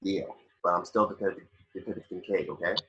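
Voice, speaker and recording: A man's voice: low voice, fast, bright, forceful tone, lo-fi sound. A man reading the part of a firm, exasperated veteran homicide detective in an amateur table read of a film script.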